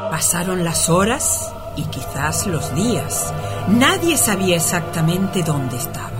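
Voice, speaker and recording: A woman's voice: on the low side, sing-song, measured pace. A woman narrating a radio play with dramatic, scripted storytelling. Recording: music playing behind the voice.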